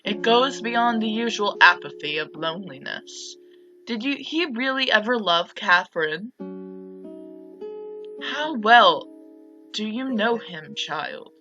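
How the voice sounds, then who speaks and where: A woman's voice: mid-range voice, expressive, slow, sharp tone, lo-fi sound, faint music behind, heavily acted. A woman voicing a cynical, domineering mother from a visual novel, speaking in a stern, insistent, dramatic way. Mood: accusing. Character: cynical, accusing, domineering mother goading her child. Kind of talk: reading visual novel dialogue aloud.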